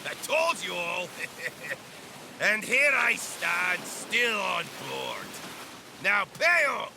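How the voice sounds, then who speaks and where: A man playing a grumbling, gloating pirate repairman in an audio drama, with gruff, drawling speech. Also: high-pitched, sing-song, drawn-out words, full character voice. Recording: noisy ship ambience behind voice.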